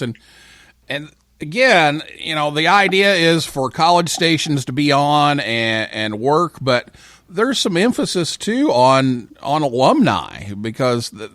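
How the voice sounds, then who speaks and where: A man's voice: fairly high pitch, a bit bright, no echo. A man hosting a casual live interview, informally prompting his guests with questions. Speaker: talk show host.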